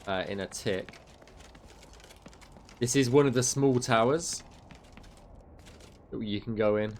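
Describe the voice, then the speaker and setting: A man's voice: medium pitch, slow, slight background noise. A man, a game mod developer chatting casually on a livestream, rambling and answering chat with many fillers, his voice getting tired. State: losing his voice.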